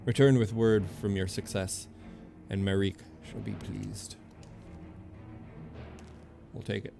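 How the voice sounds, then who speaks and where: A man's voice: lowish voice, level tone, slow, vocal fry. A man streaming a video game, commenting casually and reading game text aloud. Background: faint game music.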